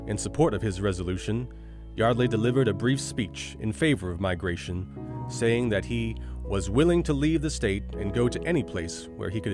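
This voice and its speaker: A man formally reading an old newspaper report aloud. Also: on the low side. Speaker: reader of archival newspaper text. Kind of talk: formal read-aloud of 19th-century newspaper. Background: plucked guitar music.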